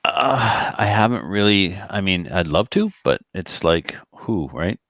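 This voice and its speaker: A man's voice: low voice, animated, a little slow, fairly bright tone, no echo. A man chatting casually on a podcast in a rambling, colloquial way, often slipping into quoted dialogue. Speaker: podcast speaker who organizes shooting matches.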